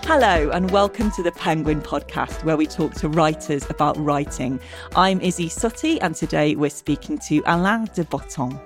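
A woman's voice: low voice, moderate pace, soft background music. A woman hosting a podcast interview, reading an introduction and then asking thoughtful questions. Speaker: podcast host.

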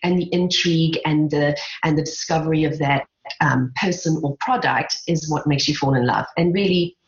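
A woman with a South African accent and a low-pitched voice talks as an interview guest. She sounds articulate and reflective, explaining things conversationally. The recording is roomy and a little dull. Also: moderate pace. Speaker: podcast guest, skincare brand founder. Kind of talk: conversational interview about own brand.

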